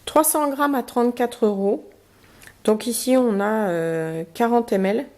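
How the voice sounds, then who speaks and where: A woman with a slightly higher voice talks casually through a beauty box, pausing and filling gaps as she goes. Her nose is a little blocked from a cold, and she sometimes reads out product descriptions. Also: darkish tone. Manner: chatty, hesitant, many fillers, reads product cards aloud. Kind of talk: casual unboxing review.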